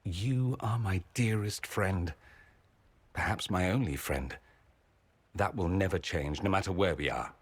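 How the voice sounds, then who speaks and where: Witty, vain low-voiced mage nobleman. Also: a little slow, slightly rough, no echo. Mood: wry, fond. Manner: theatrical, arch, flippant. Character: witty, vain, sardonic male mage nobleman with hidden warmth. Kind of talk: dramatic video game dialogue.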